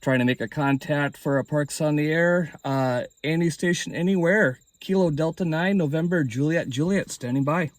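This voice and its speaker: A man's voice: on the high side, normal speed, few pauses, no room sound. A man speaking casually as an amateur radio operator hosting a hobby video. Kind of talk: casual hobby vlog talk and radio calling.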